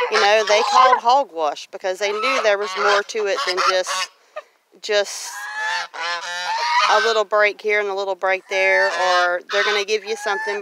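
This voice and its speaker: A middle-aged woman talking casually in a plain-spoken, folksy way, repeating phrases for emphasis as she walks and talks. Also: medium pitch. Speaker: homestead vlogger. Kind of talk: casual walk-and-talk monologue. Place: outdoors on a grassy homestead field. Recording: noisy outdoor recording with geese honking.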